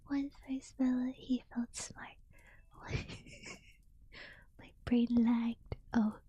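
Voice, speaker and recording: A tired woman streamer, yawning as she chats softly with her viewers in a whispered ASMR stream. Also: fairly high pitch, little pitch movement, very slow, breathy edge. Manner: soft whispering, chatty with viewers.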